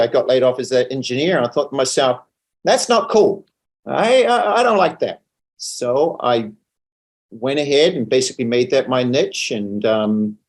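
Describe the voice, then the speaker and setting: Middle-aged male guest, New Zealand accent, medium pitch, conversational storyteller with halting, self-repeating phrasing. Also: darkish tone. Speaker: interview guest, financial advisor.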